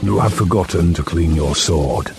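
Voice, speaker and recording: A man's voice: creaky, music in the background. A man voicing a great, noble lion king in an audiobook, grave and commanding, with a purring voice. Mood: grave, stern. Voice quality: low, purring voice. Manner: slow, grave, authoritative.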